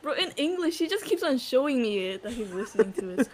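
A woman's voice: sits high, sing-song, fast. A teenage girl bantering on a casual podcast, teasing her friends with slang. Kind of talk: casual chat.

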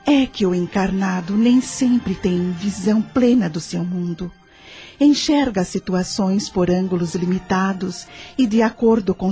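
An actress with a Brazilian accent playing a patient, wise spirit mentor in a radio drama, measured, reassuring and instructive. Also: female voice, mid-range voice, warm tone, lightly acted.

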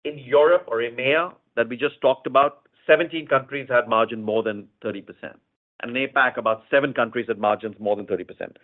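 A man speaking as a company executive, giving measured, explanatory answers in a semi-formal earnings call style, often repeating phrases. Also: medium pitch. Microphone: conference call line. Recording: narrow tinny phone-line sound.

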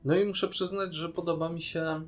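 A man's voice: a little high, steady tone, brisk, faint music behind. A man talking casually as a podcast co-host, giving his opinions in an informal way. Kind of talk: casual review chat.

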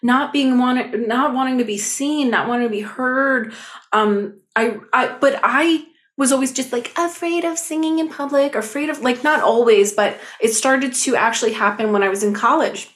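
A woman talking as a podcast guest, telling stories in an animated, expressive way with lots of fillers. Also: high voice, moderate pace. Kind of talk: casual conversation.

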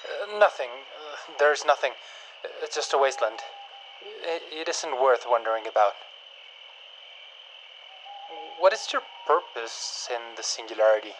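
A man's voice: medium pitch, lively intonation, normal speed, fairly bright tone, a little hiss or hum, lightly acted, rough recording. A man playing a guarded, pedantic scientist in a dystopian audio drama, speaking stiffly and precisely, as if lecturing. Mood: evasive, dutiful.

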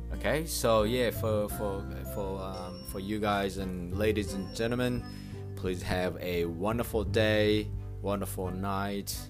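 A man hosts a language-lesson podcast, his voice sitting low and his pace unhurried. He says each word several times for learners and checks in with a frequent "okay?", while faint music plays underneath. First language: Japanese. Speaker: podcast host teaching Japanese.

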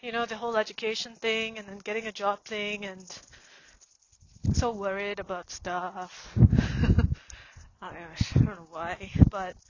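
A woman's voice: mid-range voice, flat delivery, darkish tone, muffled recording, a little hiss or hum. A woman in her forties musing aloud for a reflective podcast while walking outdoors on a snowy street. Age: 40s. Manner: slow, musing and meandering. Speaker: podcast host.

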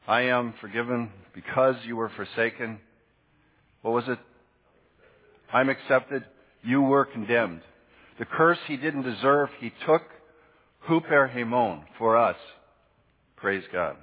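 A man's voice: medium pitch, husky. A man preaching a sermon in a conversational, teacherly way, putting questions to his congregation and answering them himself. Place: large church hall, preaching to a congregation. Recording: echoey hall, dull lo-fi sound.

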